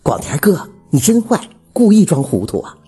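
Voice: medium pitch, huge pitch swings, normal speed, mellow, breathy edge, soft background music, voice pushed up, full character voice. A storyteller voicing a coquettish middle-aged village widow, sweetly coaxing and insistent. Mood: coy, tender.

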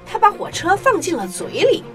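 A woman's voice: very high voice, wildly swooping pitch, moderate pace, music in the background. A woman narrating a children's story as scripted audio drama.

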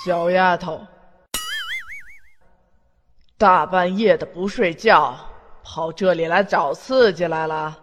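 A man's voice: sits high, measured pace, a bit bright. A man playing a boastful, hot-tempered demon king who rants pompously, in a fan audio drama. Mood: mocking. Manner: pompous, ranting, indignant. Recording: long hall reverb with music.

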